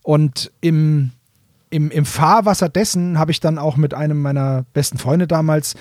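A man with a voice set a little higher than most talks like a podcast co-host. His delivery is colloquial and conversational, as in an informal chat between fans. The sound is very dry, with hardly any room on it. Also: steady tone, moderate pace, darkish tone, dry recording. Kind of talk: casual, conversational fan talk.

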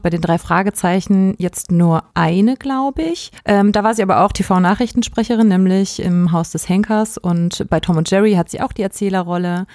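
A woman's voice: mid-range voice, a little mellow, no echo, studio quality. A woman co-hosting a podcast in casual, semi-prepared talk, chatty and informative with plenty of detail. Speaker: podcast co-host.

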